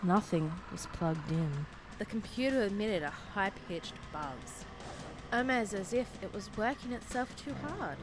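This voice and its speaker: A woman reading a scripted horror story as a narrator, in a suspenseful, deliberate way with short dramatic phrases. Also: mid-range voice, animated. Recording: music behind the voice. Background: background music.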